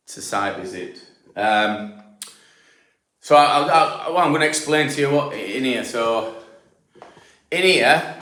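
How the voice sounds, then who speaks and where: A middle-aged Yorkshire man talks you through a damp job in a house stripped back to bare walls. He speaks slowly and rambles with fillers and false starts, and his voice bounces around the empty room. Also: medium pitch, fairly bright tone, echoey room. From Yorkshire, England. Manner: rambling, explanatory, many fillers and restarts. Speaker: damp proofing specialist. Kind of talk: casual on-site walkthrough. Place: house being stripped for damp work, indoors.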